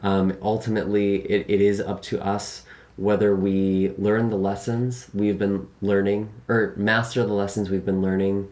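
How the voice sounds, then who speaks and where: A young man speaking earnestly to a camera at his desk in a small room, his voice sitting deep and low. It is a little raspy from a long day of recording, and he leans on fillers as he talks through the month ahead. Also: level tone, moderate pace, a little mellow. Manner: earnest, filler-heavy, gestures with hands. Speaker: tarot reader. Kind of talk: casual monthly forecast to camera. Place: small room by a window at home.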